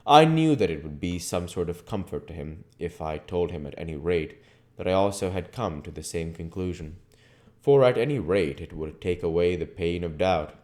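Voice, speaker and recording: A man reading a classic novel aloud as an amateur audiobook reader, in a measured, formal way. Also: sits low, animated, darkish tone.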